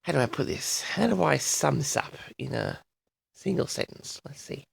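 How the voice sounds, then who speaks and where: Theatrical man with a heavily creaky voice. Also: medium pitch, lively intonation, dry recording. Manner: theatrical, addresses listeners as audience, drawn-out words. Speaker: host of a personal audio log. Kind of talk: rambling solo monologue to an audience.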